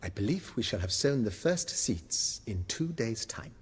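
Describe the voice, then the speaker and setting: Fussy deferential game butler, eloquent and formal, animated intonation, breathy husky tone, long reverb. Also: male voice, mid-range voice, a little slow, heavily acted. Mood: obsequious. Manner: formal, obsequious, florid, swooping delivery. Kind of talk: video game voice acting.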